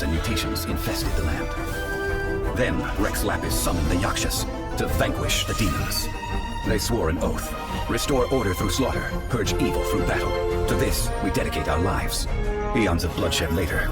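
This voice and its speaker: A man playing a grave storyteller of ancient legends, narrating in a solemn, measured, dramatic way for a video game. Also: deep voice. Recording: loud background music.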